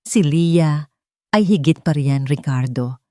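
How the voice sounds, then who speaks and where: A woman's voice: deep voice, takes it slowly, dark tone, no room sound. Woman doing a bossy protective billionaire guy, filipino accent, short firm lines, romance audiobook, dry recording. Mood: stern. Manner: firm, terse, commanding. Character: stern, protective billionaire CEO man.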